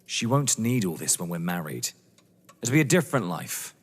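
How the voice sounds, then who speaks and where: An actor playing a young English lover, earnest, polite, proud and defensive, in a theatrical audio drama. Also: male voice, deep voice, very animated, moderate pace, husky, full character voice. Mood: indignant. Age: young adult. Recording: echoey hall-like reverb.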